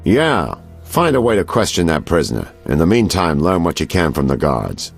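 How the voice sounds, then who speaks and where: Shady detective selling info, real deep gravelly voice that swoops around, theatrical, game. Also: male voice, sing-song, moderate pace, soft background music, exaggerated voice. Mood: sly, knowing. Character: sly, knowing male private detective selling information. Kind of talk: video game character acting.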